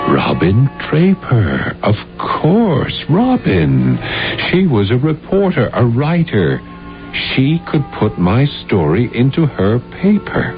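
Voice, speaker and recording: A very low-voiced, brooding man of forty, bitter and beaten, confesses with dramatic intensity in a radio play. The sound is dull old broadcast tape, with faint music. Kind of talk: 1970s radio drama acting, confessional narration. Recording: dull 1970s radio recording.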